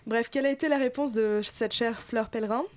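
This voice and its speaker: Woman, radio news segment, slightly high voice, ironic asides. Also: brisk, darkish tone. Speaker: news flash presenter. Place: radio studio.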